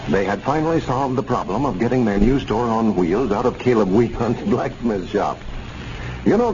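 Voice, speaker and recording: A man narrates a 1930s radio comedy in a low, animated storytelling voice. The old recording is muffled with faint hiss. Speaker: announcer.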